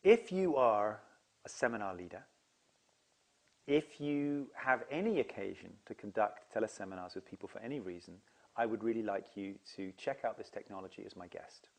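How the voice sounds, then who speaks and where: A man's voice: on the low side, animated, normal speed, gravelly. A man who leads seminars, explaining to camera in an earnest, enthusiastic way. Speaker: seminar leader.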